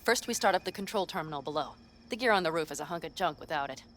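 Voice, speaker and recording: A brisk, cocky mercenary. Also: female voice, deep voice, expressive, fairly bright tone, a little hiss or hum. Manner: brisk, commanding planner. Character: tough female fixer, confident and cocky. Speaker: mercenary ally. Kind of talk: video game dialogue.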